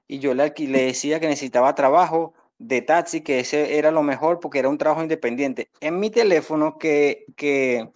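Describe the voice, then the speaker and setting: Higher-voiced young Venezuelan man, explaining earnestly. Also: a little mellow. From Venezuela, born in Caracas. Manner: earnest, repetitive explaining with apologies to the judge. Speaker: defendant speaking at a court hearing. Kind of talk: spontaneous testimony, pleading his case. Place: indoors against a plain wall, on a video call. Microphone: phone at arm's length on video call.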